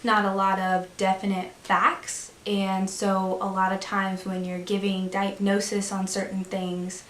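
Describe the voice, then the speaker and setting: A young adult woman explaining her views in a measured, steady way during an interview. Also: mid-range voice, reverberant, a little hiss or hum.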